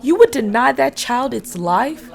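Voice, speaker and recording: A woman's voice: sits high, animated, a little slow, creaky, exaggerated voice. A woman playing a compassionate starship first officer in a sci-fi audio drama, earnest and persuasive. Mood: earnest pleading.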